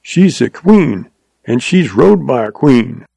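A man's voice: medium pitch, very animated, slow, vocal fry, mellow, slightly rough, no echo, exaggerated voice. A man voicing a chatty little male stableman who chuckles and talks in folksy frontier speech. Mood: chuckling, folksy. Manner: chuckling, folksy western drawl.

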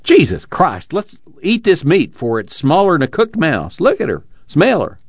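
A man voicing a blunt, coarse, plainspoken farm fellow in rough rustic dialect for an audiobook novel. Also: medium pitch, expressive, normal speed, dry recording, highs cut off, pitched above the performer's own voice, lightly acted. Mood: nervous, impatient.

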